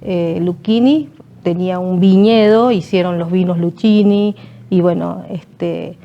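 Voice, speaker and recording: A woman born in Peru, a guest author, telling her family history in a measured, hesitant way with many fillers. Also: on the low side, steady tone, dull audio, slight background noise. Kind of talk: conversational storytelling.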